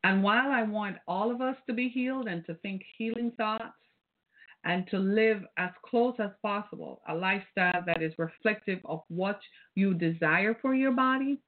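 A woman's voice: medium pitch, normal speed. A woman from the British Caribbean with a Caribbean accent, hosting a solo podcast in a preachy, rhetorical way and often tagging her points with "right?". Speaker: podcast host. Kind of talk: casual solo podcast commentary. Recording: phone-like, narrow band.

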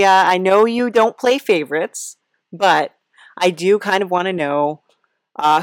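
A woman's voice: medium pitch, dry recording. A woman hosting a comic interview in a casual, rambling, hesitant way with a wry touch. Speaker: podcast host, interviewer.

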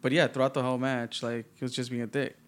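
A man co-hosting a casual podcast, relaxed, recapping events from his notes and laughing easily. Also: medium pitch, fast talker. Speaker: podcast co-host. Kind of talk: casual wrestling chat.

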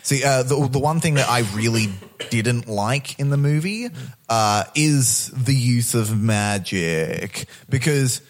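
Regular guy voice talking movies, kinda blunt n jokey, swears, medium pitch. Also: slow pace. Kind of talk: casual film discussion.

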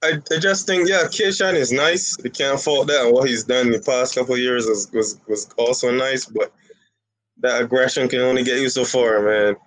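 A man from Barbados with a Barbadian accent, calling in to a casual chat and giving relaxed, confident opinions. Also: medium pitch, moderate pace, no room sound, rough recording. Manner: laid-back, confident opinions on battle rap. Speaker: guest caller.

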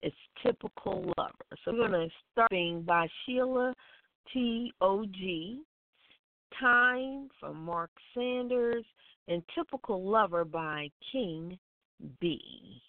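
A woman hosting a radio show, introducing music in a casual, informal and halting way. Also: medium pitch, expressive, no room sound. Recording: phone-like narrow band sound.

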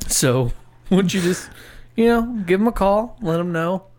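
A man's voice: high-pitched, animated, a little slow, a little mellow, soft background music. A man co-hosting a casual comedy show, joking crudely and laughing often. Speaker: co-host. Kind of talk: casual comedic podcast banter.

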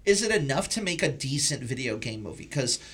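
A man's voice: medium pitch, very animated, brisk, fairly bright tone. A man hosting a podcast, chatting casually and informally about films. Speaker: podcast host. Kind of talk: casual film discussion.

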